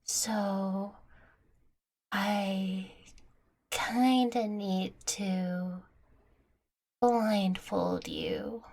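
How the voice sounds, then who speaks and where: A woman's voice: mid-range voice, fairly even intonation, drawn-out words, pauses often, darkish tone. A woman playing a playful, affectionate young catgirl girlfriend in an intimate audio roleplay, teasing, coaxing and tender with the listener. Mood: gentle pleading.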